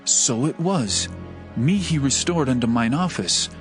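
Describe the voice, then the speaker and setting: A man playing a deferential chief butler to Pharaoh, recounting in formal, measured archaic language for a Bible audio drama. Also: medium pitch, lively intonation, soft background music, lightly acted. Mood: contrite, formal.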